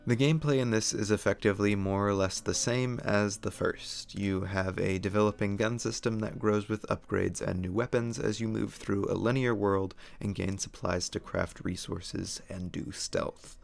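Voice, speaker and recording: A man hosting a video essay in a casual, opinionated commentary style, his voice hoarse and worn as if he is losing it. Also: lowish voice, steady tone, normal speed, few pauses.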